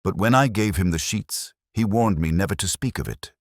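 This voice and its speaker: A man's voice: deep voice, moderate pace, no room sound, studio quality. A man voicing a frightened, earnest young clerk confessing nervously in an audiobook. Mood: anxious, earnest. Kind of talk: dramatic audiobook character reading.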